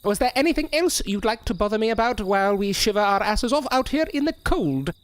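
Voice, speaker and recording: A man's voice: high voice, expressive, a little fast. A man playing a bitter, menacing, washed-up stage entertainer in a theatrical style for an audio drama. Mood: irritated.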